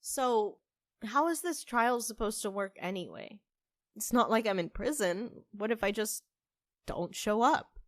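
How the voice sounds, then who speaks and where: A woman's voice: mid-range voice, lively intonation, a little slow, no echo, clean studio sound, lightly acted. A woman acting a sardonic, frustrated barista wrongly accused of a crime in a fantasy audio drama, with terse delivery. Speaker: voice actor in a fiction podcast.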